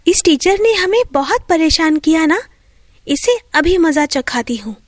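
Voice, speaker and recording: A woman's voice: very high-pitched, lively intonation, a little slow, no room sound, clean studio sound. A woman voicing a poor young schoolgirl in a storybook tale, with a childlike, plaintive way of speaking. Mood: plucky. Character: poor, timid but plucky young schoolgirl.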